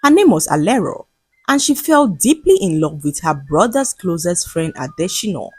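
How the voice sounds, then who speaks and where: A woman narrating a scripted folktale in a measured, dramatic storytelling manner. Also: fairly low pitch, very animated, a little mellow, husky, dry recording. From Nigeria.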